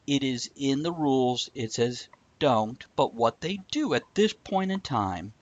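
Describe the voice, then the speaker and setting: A man's voice: medium pitch, animated, measured pace, dull audio, a little hiss or hum. A man hosting a live-streamed talk show, chatting casually, explaining ideas eagerly and greeting viewers. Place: home streaming setup.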